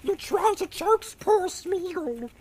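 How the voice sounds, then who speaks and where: A man voicing a thin, greedy male creature who talks of himself in the third person, in a gravelly, whining, drawn-out voice. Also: very high voice, lively intonation, darkish tone, slight background noise, pitched above the performer's own voice, heavily acted. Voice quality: gravelly, croaking, sing-song. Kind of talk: audiobook character voice.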